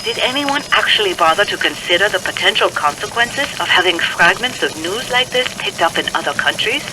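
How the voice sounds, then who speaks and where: Casting: an actor playing a disdainful, outraged critic, lively pitch, formal scolding, noisy old-radio effect. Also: brisk, natural voice. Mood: scolding outrage. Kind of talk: dramatized press quotation in radio drama.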